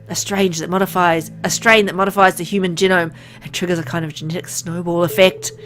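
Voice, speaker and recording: A frank, secretive medical insider , acted out by a woman lays things out earnestly, as if in confidence. The voice is medium-pitched, in a visual novel read-aloud with music underneath. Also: fairly bright tone, lightly acted.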